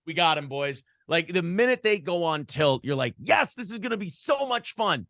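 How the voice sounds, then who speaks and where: A man's voice: a little high, normal speed, no echo. Guy on a podcast swapping stories, jokey and sweary, dry close sound. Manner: animated storytelling, profane, joking. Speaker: interview guest, broadcaster. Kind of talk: casual conversational interview.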